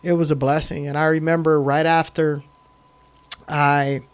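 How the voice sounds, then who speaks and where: A middle-aged man speaking as a guest on a podcast, with a fairly high voice for a man. He tells his story in an emphatic, animated way and leans on frequent "um"s as he goes. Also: unhurried, clean tone. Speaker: podcast guest, film director. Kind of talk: casual storytelling interview.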